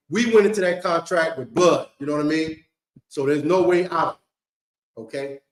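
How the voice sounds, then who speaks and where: A man leading a holy day gathering, talking in a loose, conversational way and often checking in with "okay?" and "you know what I mean?". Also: on the high side. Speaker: congregation leader. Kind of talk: informal sermon to a congregation. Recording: echoey hall.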